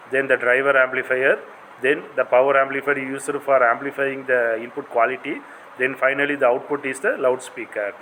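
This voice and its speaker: A man with an Indian accent delivers an explanatory lecture in a bright, forceful voice. He sounds didactic, like a college teacher walking students through material. A faint hum of room noise sits behind him. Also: medium pitch, rough recording. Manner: didactic, non-native English phrasing.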